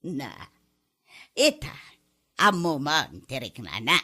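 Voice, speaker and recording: A woman's voice: low voice, very animated, slow, bright, forceful tone, full character voice. A woman playing a suspicious, scolding wife and mother with an Ilocano accent in a comedy drama, nagging and questioning. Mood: annoyed.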